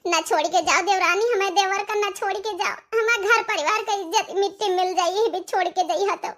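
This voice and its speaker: A woman's voice: extremely high pitch, a little fast, fairly bright tone. A woman voicing an outspoken village sister-in-law in a comic cartoon, earnest and repetitive, with an Awadhi accent and a dramatic manner. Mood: anxious pleading.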